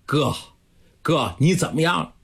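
A man voicing a calm, steady younger brother. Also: on the high side, slow pace, creaky, husky, exaggerated voice. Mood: urging. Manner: steady, persuasive.

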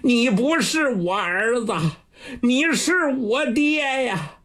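A man's voice: very high voice, expressive, slow, fairly bright tone, pitched above the performer's own voice, full character voice. A man voicing an enraged old father who bellows curses in a cracking voice, in a traditional Chinese storytelling performance. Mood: furious. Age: older.

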